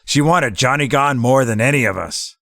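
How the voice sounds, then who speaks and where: Man playing a cool, gravelly gangster boss. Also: mid-range voice, moderate pace, fairly bright tone, no echo, studio quality. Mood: calculating. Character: cool, scheming gangster taking over as boss. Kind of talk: hardboiled 1940s gangster audio drama acting.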